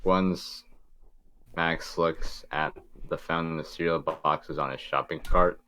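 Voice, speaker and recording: A man's voice: extremely low pitch, steady tone, slow, pushed and bright, slight background noise. A man reading stage directions aloud as narrator in an amateur table read.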